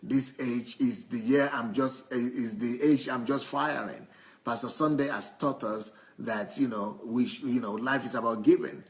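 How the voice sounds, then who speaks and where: A man interpreting a church testimony live into English, haltingly, often repeating and rephrasing his phrases. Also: mid-range voice, steady tone.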